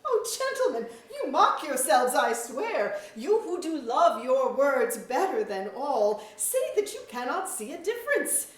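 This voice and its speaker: Stage chamberlain played by a woman, loyal and scolding, slow rhetorical delivery with sweeping pitch, distant in an auditorium. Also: sing-song. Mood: indignant, scornful. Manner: rhetorical, emphatic, archaic formal diction. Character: proud, witty Lord Chamberlain of a fantasy court, loyal, scolding. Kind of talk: staged reading of mock-Shakespearean verse drama. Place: museum auditorium. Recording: echoey auditorium, distant mic.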